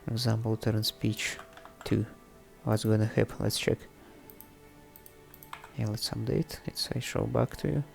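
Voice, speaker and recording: A man presenting a casual, unscripted coding tutorial, thinking aloud haltingly in non-native English. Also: on the low side, steady tone, creaky, darkish tone. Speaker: presenter of a programming tutorial series. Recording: faint background music under the voice. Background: faint music.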